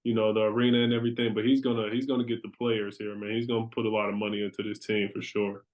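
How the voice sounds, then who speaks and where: A man's voice: lowish voice, little pitch movement, racing, darkish tone. An American man hosting an interview as a casual chat, with slangy, affirming phrasing. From Milwaukee, Wisconsin, United States. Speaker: podcast host, interviewer.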